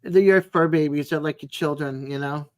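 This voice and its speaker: A woman in her sixties chatting casually as a live stream host, in a rambling, chatty way with frequent pauses. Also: low-pitched. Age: 60s. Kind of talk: casual chat with live viewers.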